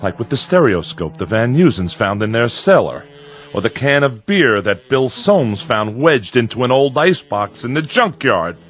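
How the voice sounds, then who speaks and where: Nervy small-town father, wildly swinging pitch. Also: very animated, moderate pace, fairly bright tone, soft background music. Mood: uneasy, explaining. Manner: folksy, forced cheer, pleading. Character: frightened small-town father, nervously cheerful, cracking into bitterness. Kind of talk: radio drama acting.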